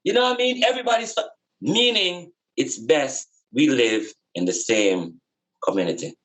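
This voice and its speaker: A man's voice: medium pitch, animated, moderate pace, a bit bright, poor audio. A man talking casually and reflectively about life in an earnest, emphatic way, repeating phrases for emphasis. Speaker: podcast guest speaker on spirituality and community. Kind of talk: casual reasoning, reflective spiritual talk.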